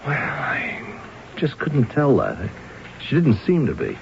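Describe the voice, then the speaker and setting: A man's voice: a little high, wildly swooping pitch, slow pace, gravelly, heavily acted. An actor playing an affable, hesitant pilot and eyewitness in a 1970s radio drama, stammering and repeating his words. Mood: unsure, uneasy. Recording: dull, hissy vintage radio broadcast.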